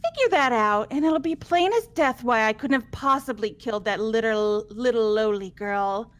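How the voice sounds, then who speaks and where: Woman playing a high, manic young female killer. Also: normal speed, natural voice. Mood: boastful, taunting. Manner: theatrical, taunting, swooping delivery. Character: manic flamboyant young female serial killer, gleefully boastful. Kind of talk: amateur game voice acting.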